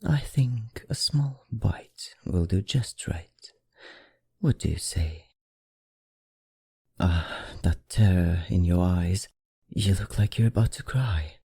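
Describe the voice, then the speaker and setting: A performer voicing a seductive, teasing vampire librarian in an ASMR roleplay, with a put-on foreign accent, breathy and husky, often whispering, in slow, soothing, formal speech. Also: low voice, warm tone, dry recording, clean studio sound, full character voice. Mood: sly, coaxing.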